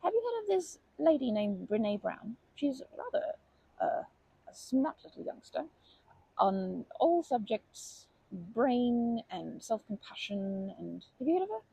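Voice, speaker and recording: Kindly old grandma, played by a woman, for ASMR comfort roleplay: creaky, mellow, gently rambling and nurturing. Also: on the high side, very animated, full character voice, poor audio. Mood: earnest, reassuring. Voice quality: husky, creaky voice. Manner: gentle, rambling, halting, doting endearments.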